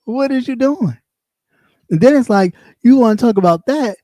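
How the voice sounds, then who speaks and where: A man's voice: very high-pitched, lively intonation, moderate pace, dark tone, no echo, studio quality. A man with a light voice, ranting bluntly and casually as a livestream host, repeating himself as he goes. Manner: blunt and sassy, talks in loops and repeats himself. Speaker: live stream host; former radio host.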